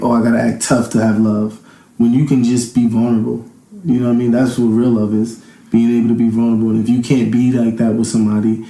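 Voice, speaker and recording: A man's voice: mid-range voice, vocal fry, mellow. A man in his thirties chatting casually as an interview guest, rambling and thinking aloud. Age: 30s. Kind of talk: casual chat. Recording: echoey room.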